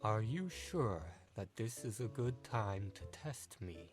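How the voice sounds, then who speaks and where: A man's voice: lowish voice, big pitch swings, slow pace, music in the background. A man playing a smug, condescending trial witness in an audio drama, with a mocking, theatrical manner. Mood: exasperated. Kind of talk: amateur audio drama acting.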